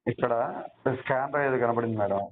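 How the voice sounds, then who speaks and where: A man's voice: medium pitch, fairly quick. A man in his twenties calling on the phone, talking casually in a deferential, hesitant way and asking simple questions. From rural village in Telugu-speaking India. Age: 20s. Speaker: caller. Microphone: telephone call. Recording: tinny phone line with faint noise.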